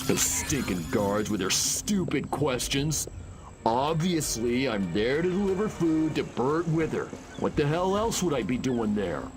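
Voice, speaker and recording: An actor playing a jittery, exasperated diner cook who complains, in a video game. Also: male voice, medium pitch, sing-song, measured pace. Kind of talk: scripted video game cutscene acting. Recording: loud music under the voice.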